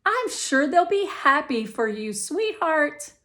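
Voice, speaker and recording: A woman voicing a cheerful, doting, excitable mother in a storybook, gushing and chatty. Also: high voice, slow pace, pitched above the performer's own voice, exaggerated voice. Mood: reassuring.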